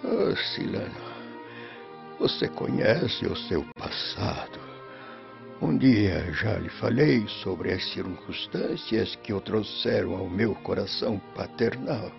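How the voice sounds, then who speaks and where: An actor playing a frail, devout old Roman father in a radio drama, solemn and tender, with a breathy, quavering voice. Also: on the low side, slow pace, full character voice. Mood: grave, tender. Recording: muffled lo-fi, music underneath.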